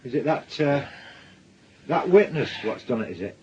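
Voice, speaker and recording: A man's voice: mid-range voice, very animated, moderate pace, a little mellow, natural voice. An actor playing a hesitant, awkward man in a radio drama, fumbling his sympathy. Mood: tentative. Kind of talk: naturalistic British radio drama. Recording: dull radio drama, faint noise.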